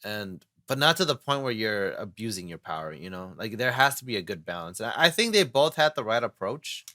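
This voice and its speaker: A man with a bright, forceful voice talking through ideas in a loose, rambling way. The sound is dry and close, with no room around it. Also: on the low side, sing-song, a little fast, dry recording. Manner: earnest, rambling, lots of "you know". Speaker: podcast co-host and voice actor. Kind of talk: casual podcast chat.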